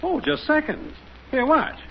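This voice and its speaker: High-voiced, boastful suburban neighbor man. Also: a little slow, vocal fry, full character voice. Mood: eager, showing off. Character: boastful, cheerful middle-aged suburban neighbor showing off. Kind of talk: 1950s radio sitcom acting. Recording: muffled, noisy old radio recording.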